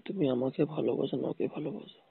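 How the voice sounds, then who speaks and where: Husky, gravelly man on a phone line. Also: fairly high pitch, animated, rapid-fire, no echo. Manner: insistent, repeats demands. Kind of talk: intimate relationship argument on a phone call. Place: phone call. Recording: muffled tinny phone call recording.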